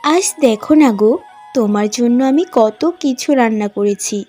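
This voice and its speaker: A woman's voice: a little high, moderate pace, dark tone, natural voice. A woman playing a patient young village bride in a cartoon, pleading and deferential. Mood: affectionate. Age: young adult. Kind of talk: cartoon voice acting. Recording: music behind the voice.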